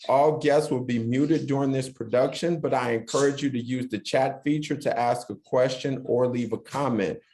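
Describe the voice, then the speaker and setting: A man's voice: mid-range voice, a little mellow. A man serving as a web show's producer, giving a formal scripted introduction. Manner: formal, announcer-like.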